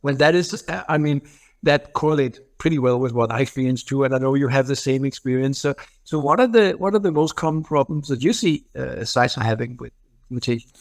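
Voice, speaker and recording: A male interview host with a middle-pitched voice, talking in a casual, halting way. He fills his pauses with "uh" and "um" while he looks for the right words. The recording is dry and close. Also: no room sound. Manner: halting, with many "uh" and "um" as he searches for words.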